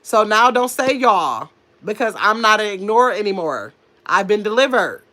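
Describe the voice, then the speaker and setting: A woman's voice: mid-range voice, big pitch swings, moderate pace, bright, forceful tone. A middle-aged woman with a very nasal voice, stuffy from a cold that is coming on. She talks loosely and at length to her livestream chat, firing back at comments with sass and rambling asides, close to a phone or webcam mic. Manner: talkative, sassy, rambling, answers chat comments directly. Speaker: livestream host reading chat.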